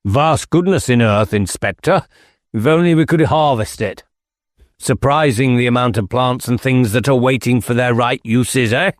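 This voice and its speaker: A man's voice: mid-range voice, moderate pace, no room sound, studio quality, voice pushed up, close to an everyday voice. A man voicing an evasive, whimsical English country squire in an audiobook, with airy, affected manner and clipped phrases. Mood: musing. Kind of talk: audiobook character dialogue.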